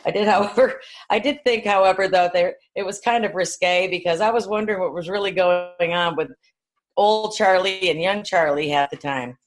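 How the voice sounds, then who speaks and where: Female co-host, slightly low-pitched, conversational and folksy, for relaxed film discussion. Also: normal speed. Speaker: podcast co-host.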